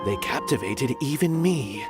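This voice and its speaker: A man's voice: medium pitch, expressive, unhurried, natural voice. A man playing a haughty, philosophical art connoisseur in an anime video game, with a pompous, theatrical and eloquent delivery. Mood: impassioned. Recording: background music behind the voice.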